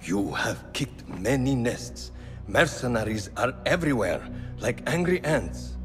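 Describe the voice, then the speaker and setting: An actor playing a wry, cautioning ally in a dramatic video game. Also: male voice, medium pitch, very animated, unhurried, a bit bright, soft background music. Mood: wry, stern.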